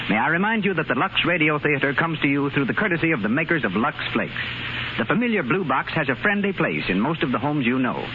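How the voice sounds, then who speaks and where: A man announces a 1930s radio show at a fast clip, with lively swings in his fairly high voice. He reads scripted copy over a noisy, muffled old broadcast.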